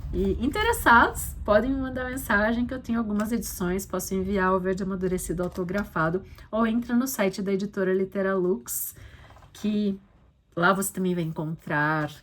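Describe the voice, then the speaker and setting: A woman's voice: mid-range voice, normal speed. A Brazilian woman talking casually and reflectively as an author and podcast host, explaining things conversationally with frequent little check-in tags. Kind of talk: casual reflective commentary on her own short story.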